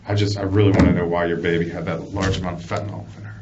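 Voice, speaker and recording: A man's voice: low voice, level tone, rapid-fire, distant mic. A man working as a police detective, conducting an interrogation with blunt, persistent, repeated questions. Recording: muffled lo-fi interview recording, clear background noise.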